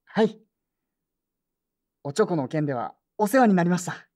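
A woman's voice: lowish voice, expressive, moderate pace, a little mellow, close to an everyday voice. A woman playing an earnest, polite teenage boy in a Japanese audio drama. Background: background music.

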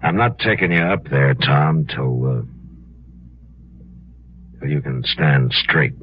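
An actor playing a stern, weary American frontier marshal in 1950s radio western style, measured and plain-spoken. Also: male voice, very deep voice. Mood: steady, accommodating. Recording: dull, noisy 1950s radio recording.

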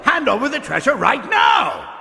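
An actor playing a gloating, theatrical mad scientist villain in a video game cutscene, booming out his lines. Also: wildly swooping pitch, moderate pace, vocal fry, crowd noise, noisy background, exaggerated voice. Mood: menacing. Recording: long hall reverb under loud music.

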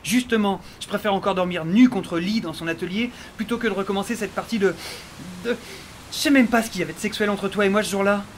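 A man's voice: high voice, quick pace, slight background noise, lightly acted. An actor playing a sarcastic, geeky young male scientist in an audio drama, wryly telling his adventure and rambling. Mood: exasperated. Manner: wry, rambling, talks to the listener. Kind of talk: audio drama narration and dialogue.

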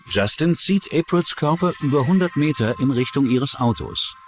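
A man's voice: medium pitch, lively intonation, moderate pace. A man reading scripted true-crime narration as a documentary narrator. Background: music.

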